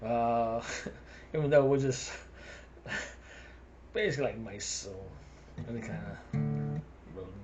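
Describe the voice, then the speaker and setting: A man's voice: mid-range voice, slow pace, pauses often. Rambling South African man, guitar behind. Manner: rambling, chuckling between thoughts. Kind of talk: casual vlog monologue.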